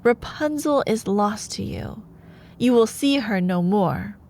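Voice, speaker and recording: A woman's voice: medium pitch, lively intonation, takes it slowly, a little mellow. A woman voicing a wicked, spiteful witch from a storybook, with a mocking, theatrical delivery. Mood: gloating, mocking.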